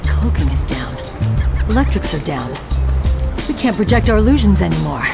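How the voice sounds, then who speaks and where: A woman's voice: on the low side, sing-song, normal speed, creaky, over loud music. An actress playing a tough, streetwise young underworld henchwoman in a video game. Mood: irritated. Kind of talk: video game character acting.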